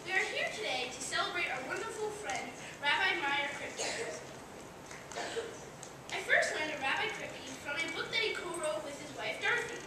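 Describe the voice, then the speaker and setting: A female performer playing a rabbi who gives a warm, formal tribute in a school stage play, heard from far off in a noisy, echoey hall. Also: very high-pitched, animated, normal speed. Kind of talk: scripted stage speech.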